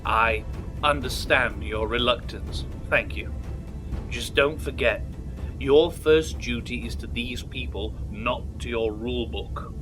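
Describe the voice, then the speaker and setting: An actor playing a disgraced starship officer in an audio drama, slyly persuasive, polite and insinuating. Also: male voice, medium pitch, slow pace, fairly bright tone, soft background music. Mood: earnest, pressing. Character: smooth-talking, scheming male disgraced officer, slyly persuasive. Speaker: starship officer, former captain. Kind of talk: science fiction audio drama acting.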